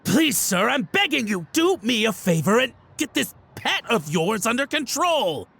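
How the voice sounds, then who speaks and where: A man's voice: extremely high pitch, very animated, measured pace, vocal fry, noisy background, exaggerated voice. A male actor playing a nervous, obsequious schemer in a game, flustered and theatrical. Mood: desperate pleading. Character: nervous, obsequious schemer, pleading and haughty by turns.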